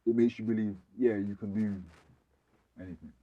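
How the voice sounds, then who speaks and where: A man's voice: on the low side, steady tone, moderate pace, highs cut off. A man with an urban British accent interviewing someone casually, with informal slang and affirming remarks.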